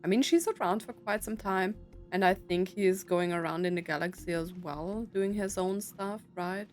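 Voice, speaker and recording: A woman's voice: medium pitch, moderate pace, a little mellow. A young woman gamer giving casual commentary as she plays through a story-driven game. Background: game music.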